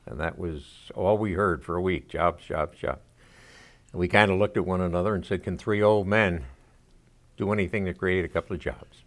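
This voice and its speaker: Deep-voiced man in his eighties. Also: normal speed. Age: 80s. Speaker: veteran telling the group's story. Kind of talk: documentary interview.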